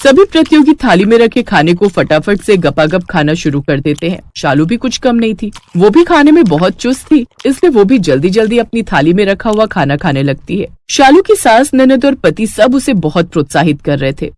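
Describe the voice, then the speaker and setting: A woman's voice: mid-range voice, big pitch swings, moderate pace, few pauses, a little mellow, no room sound. A woman narrating a scripted cartoon family story.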